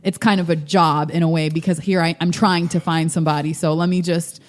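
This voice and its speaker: A woman's voice: deep voice, flat delivery, fairly quick. A woman hosting a relationship podcast, asking probing questions in an easy conversational way. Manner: probing, conversational, laughs easily. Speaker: host. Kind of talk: casual interview.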